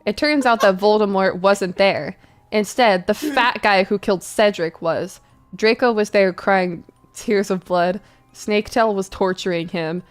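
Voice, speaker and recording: Playful, clear-voiced woman reading fanfiction theatrically. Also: medium pitch, a little slow, soft background music. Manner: playful, theatrical, spells out misspellings. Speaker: host reading fanfiction aloud. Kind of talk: mock-dramatic story reading with casual asides.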